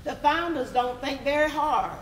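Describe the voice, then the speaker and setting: A woman giving a deliberate, emphatic dramatic reading. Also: high voice. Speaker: readers theatre performer. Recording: echoey room with crowd.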